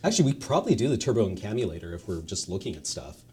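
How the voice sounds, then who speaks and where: A man's voice: fairly low pitch, big pitch swings, very fast, a little mellow. A man hosting a stream, chatting casually with the people around him. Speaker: charity stream host. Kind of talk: casual chat.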